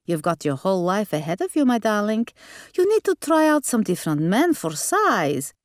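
A woman's voice: mid-range voice, wildly swooping pitch, moderate pace, no room sound, clean studio sound. A woman voicing an imperious, snappish old grandmother with a Hungarian accent in an audiobook. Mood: firm, urging. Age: older. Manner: snappish, opinionated.

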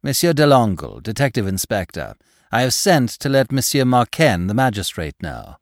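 A man playing a conman posing as a grey-bearded detective inspector in a storybook, brisk, talkative and grumbling. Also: mid-range voice, sing-song, no room sound, studio quality, natural voice. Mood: brisk, confidential.

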